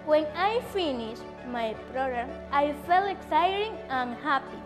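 A woman's voice: high-pitched, expressive, unhurried, music in the background, low-quality recording. A woman from Ecuador giving a simple, recited student testimonial. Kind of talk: prepared testimonial.

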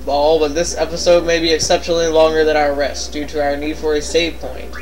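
A man's voice: a little high, flat delivery, brisk, slight background noise. A man bantering casually over a video game, playful and given to silly exclamations. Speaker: let's play co-commentator.

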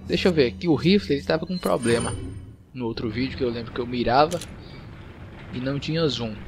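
Casting: Brazilian male gamer, mid-pitched voice, casual play-by-play, clear noise behind him. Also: a little fast. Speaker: gaming YouTuber. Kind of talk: casual gameplay commentary. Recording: noisy, game sound behind voice.

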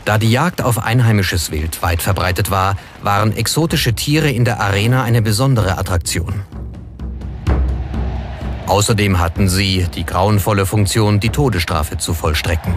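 Male documentary narrator, low voice, scripted delivery, prominent music underneath. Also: moderate pace. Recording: music behind the voice.

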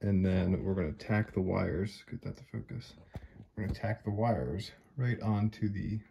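A man with a deep, low voice talks through his model train scenery step by step. His manner is easygoing, and he thinks aloud as he works. He is recorded on a phone camera in his hobby room. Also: normal speed, gravelly, highs cut off, slight background noise. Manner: relaxed, thinking aloud while working. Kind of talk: casual how-to narration.

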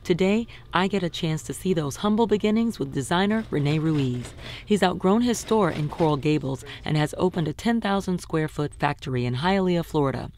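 Low-voiced woman presenter. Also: expressive, moderate pace, a little mellow. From Alabama, USA. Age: 40s. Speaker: host. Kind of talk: scripted narration and interview.

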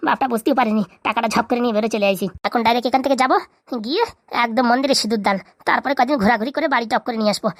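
A woman voicing an excitable, scheming young man in a comic cartoon, chattering in colloquial Bengali-accented speech. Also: a little high, racing, dry recording, studio quality, natural voice. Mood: scheming, eager.

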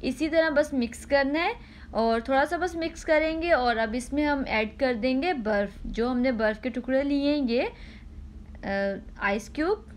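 A high-pitched woman walks viewers through a home recipe, unhurried and repetitive, with small "ah" pauses. A faint noise floor sits under her voice. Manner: patient, repeats instructions, frequent "ah" pauses. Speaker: cooking channel host. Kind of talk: step-by-step cooking demonstration.

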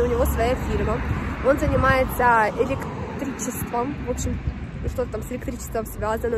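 Young female vlogger, high-pitched, informal and chatty, a bit sniffly with a cold, recorded on a noisy street. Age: young adult. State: slightly unwell with a runny nose. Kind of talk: casual walking vlog chat. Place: outdoors on a city street beside traffic. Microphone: phone at arm's length. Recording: clear outdoor street and traffic noise.

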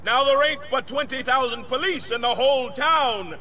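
Commanding gang leader, video game oratory, very bright forceful voice, high pitch, deliberate pace. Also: male voice. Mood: commanding, proud. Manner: slow, declamatory, drawn-out emphatic phrases. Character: charismatic, commanding male gang leader rallying a crowd.

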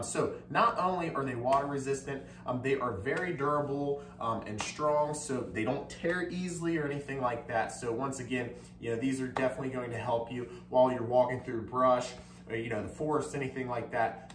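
A man's voice: medium pitch, a little hiss or hum. A young man in his twenties giving an upbeat, casual product review at home, with lots of fillers as he walks around demonstrating. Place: home kitchen, indoors. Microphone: camera mic from across the room. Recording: roomy kitchen, voice from a distance.

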